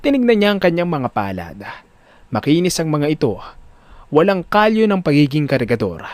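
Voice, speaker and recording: A man's voice: fairly high pitch, big pitch swings, normal speed, a little mellow, no echo. A man narrating a scripted drama story in a dramatic style.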